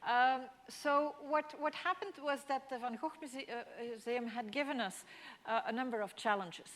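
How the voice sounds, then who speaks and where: A woman's voice: sits high, moderate pace, fairly bright tone. Dutch-speaking woman lecturing in a reverberant hall. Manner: explanatory, many restarts and fillers. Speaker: mathematics lecturer. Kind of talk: public lecture with slides.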